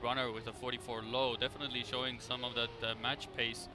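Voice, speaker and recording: A man giving live, conversational esports commentary in a halting, rambling way as he follows the play. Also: mid-range voice, flat delivery. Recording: clear background noise.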